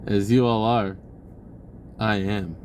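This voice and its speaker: A man giving an unhurried, reflective spiritual talk with many pauses, his voice lifting when he acts out an inner dialogue. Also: on the low side, level tone, a little mellow, slight background noise. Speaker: spiritual video host.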